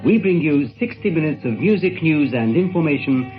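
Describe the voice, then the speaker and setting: A man's voice: on the high side, animated, moderate pace. A man reading a scripted programme introduction on a lo-fi shortwave recording with guitar music behind. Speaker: radio station announcer. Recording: dull lo-fi shortwave radio recording. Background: faint guitar music.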